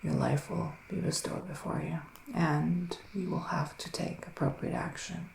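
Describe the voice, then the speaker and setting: Casting: a man to play a solemn psychic witch for ASMR, unhurried and soft-spoken, breathy pauses, recorded in a roomy space with faint noise. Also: a little high, fairly even intonation. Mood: grave, soothing. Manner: slow, deliberate, breathy pauses with sighs. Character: solemn psychic male witch giving a reading. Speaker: ASMR performer. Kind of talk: ASMR roleplay, soft-spoken and half-whispered.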